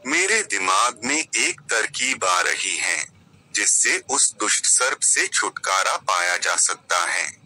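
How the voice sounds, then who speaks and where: A man voices a clever fox friend in a children's fable, giving measured counsel. The delivery is slow, with a low and sharply bright tone. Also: very animated, exaggerated voice, low-quality recording. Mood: calm, scheming. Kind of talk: children's moral story character voice.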